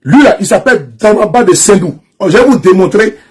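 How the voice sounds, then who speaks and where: An Ivorian man with a strong Ivorian French accent and a high, husky voice, speaking to camera. He repeats his points over and over in a mocking, insistent tone and trips over false starts. The delivery is unscripted and personal, like an online commentator addressing his followers. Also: animated, fairly quick, darkish tone. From Ivory Coast. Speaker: social media commentator. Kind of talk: direct-to-camera political rant.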